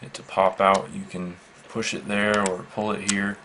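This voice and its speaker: A man giving a casual, unscripted product demonstration in a matter-of-fact, halting, measured way. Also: fairly low pitch, level tone. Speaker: product reviewer. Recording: faint background noise.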